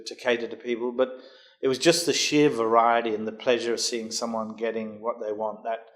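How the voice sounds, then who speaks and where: A man in his sixties from Jamaica talks through his working life. He speaks in a measured, unhurried way, pausing often with ums and uhs. His voice sits at an ordinary middle pitch. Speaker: guest. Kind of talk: podcast interview, recounting his career.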